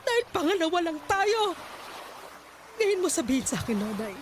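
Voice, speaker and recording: A woman's voice: extremely high pitch, sing-song, moderate pace, slight background noise. An actress playing a bitter, hurt middle-aged mother who scolds her daughter with emotional, reproachful outbursts. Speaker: voice actor in a radio-style drama.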